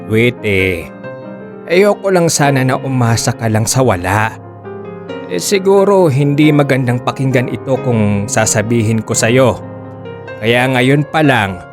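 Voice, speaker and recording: A man with a Filipino accent playing a stern middle-aged father in a radio drama, frank and measured as he admonishes. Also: mid-range voice, natural voice. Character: blunt, stern Filipino father of a grown son. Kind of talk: Tagalog radio drama acting.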